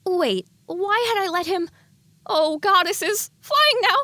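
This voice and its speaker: A young mare from a fantasy audio drama played by a woman, excitable and quick to fret. Her voice is high and swings widely, recorded dry and close. She sounds like someone blurting sassy inner thoughts. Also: no echo, heavily acted. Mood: panicked. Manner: animated inner monologue. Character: young excitable female earth pony, anxious and sassy.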